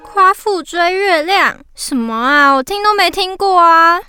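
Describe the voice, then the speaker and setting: A woman's voice: very high voice, expressive, unhurried, sharp tone, faint music behind, exaggerated voice. A woman voicing a cute, perky, teasing little girl in a children's radio drama.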